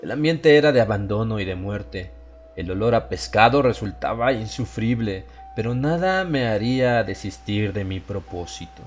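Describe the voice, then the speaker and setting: A man's voice: fairly low pitch, lively intonation, moderate pace. A man giving a scripted first-person narration of a horror story as an audiobook narrator. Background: music behind the voice.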